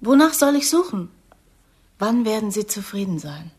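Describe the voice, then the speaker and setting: An actress playing a defiant young woman recruited as an agent in a radio play, with a husky, breathy voice. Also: medium pitch, big pitch swings, normal speed, darkish tone. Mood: questioning.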